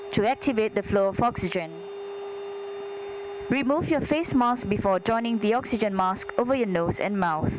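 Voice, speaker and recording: A woman flight attendant reading scripted airline safety announcements in a formal, measured, instructional way. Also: mid-range voice. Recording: clear engine hum behind cabin announcement. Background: steady aircraft hum.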